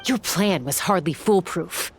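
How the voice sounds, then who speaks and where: A woman's voice: on the low side, animated, measured pace. A woman playing a tough, principled young female protector in a video game, speaking firmly and bluntly. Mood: firm, reproachful. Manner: firm, blunt, confrontational. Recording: music behind the voice.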